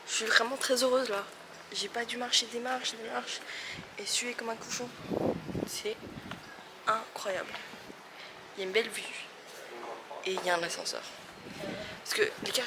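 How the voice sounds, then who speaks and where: A woman's voice: on the high side, steady tone, measured pace, pauses often, noisy background. A young woman from Belgium chatting casually to camera in a travel vlog, with chatty, self-mocking asides. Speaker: vlogger.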